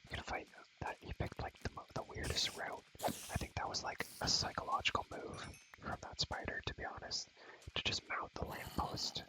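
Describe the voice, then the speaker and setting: A young man whispering rambling ASMR commentary on a game in a breathy voice, repeating phrases over and over with playful, slangy asides. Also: moderate pace, rough recording. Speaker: ASMR gaming creator.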